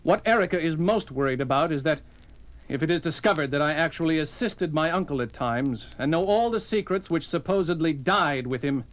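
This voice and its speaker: Man playing a high-voiced, earnest young baron. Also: lively intonation, a little fast, lightly acted, low-quality recording. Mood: grave. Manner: formal, melodramatic. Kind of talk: 1970s horror audio drama acting. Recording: dramatic music behind the voice. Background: music.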